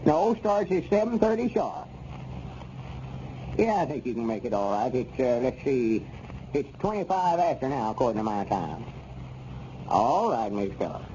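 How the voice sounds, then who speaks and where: A man's voice: medium pitch, big pitch swings, normal speed, pauses often, warm tone, natural voice. An actor playing a kindly older country man running a theater box office, with a rural Southern drawl and hesitant, homespun talk in a 1930s radio comedy serial. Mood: neighborly. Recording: dull, noisy 1930s radio recording.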